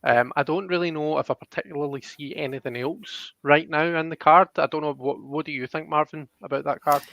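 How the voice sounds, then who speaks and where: A man giving a casual live card reading as host, thinking aloud in a halting way, on slightly dull audio. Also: on the high side, steady tone, gravelly, a bit bright, dry recording. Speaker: host and tarot card reader.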